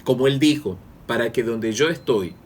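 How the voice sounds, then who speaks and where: A man's voice: mid-range voice, moderate pace, a little hiss or hum. A man preaching a Bible study sermon to his congregation, earnest and stretching out key phrases for emphasis.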